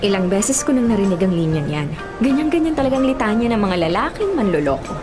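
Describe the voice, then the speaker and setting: A woman's voice: medium pitch, big pitch swings, quick pace, over music, natural voice. An actress playing a bitter, guarded young woman in a Filipino radio drama, haughty, curt and exclamatory. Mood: scornful.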